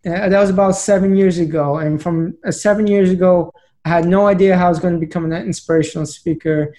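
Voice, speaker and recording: A man's voice: sits high, steady tone, fast talker, dark tone. A man in his late twenties, a podcast guest telling his story in an earnest, plain way. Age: late 20s. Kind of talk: conversational storytelling.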